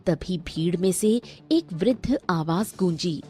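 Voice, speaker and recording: A woman's voice: lowish voice, expressive, slow pace, a little mellow, faint music behind. A woman reading scripted narration for a children's story.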